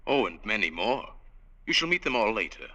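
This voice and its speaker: An actor in a vintage radio drama playing a suave, condescending gentleman with veiled menace. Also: lowish voice, big pitch swings, fairly quick, full character voice. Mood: smoothly condescending. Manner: urbane, condescending. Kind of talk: 1940s-50s radio drama acting.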